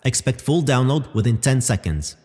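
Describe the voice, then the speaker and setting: A calm, obliging AI for a sci-fi audio drama. The voice is husky and formal, with lively swings in pitch and precise wording. A long reverb trails every phrase. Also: male voice. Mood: measured, formal. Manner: measured, formal, precise reporting. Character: Gal, calm obliging male artificial intelligence.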